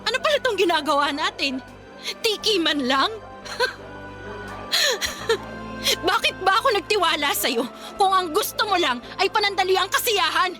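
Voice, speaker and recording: Very high-voiced, emotional young girlfriend. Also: lively intonation, unhurried, pauses often, pushed and bright, full character voice. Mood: anguished. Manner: emotional, confrontational. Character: tearful, pleading young pregnant girlfriend, indignant and resolute. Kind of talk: Filipino radio drama acting. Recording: music bed under the voice.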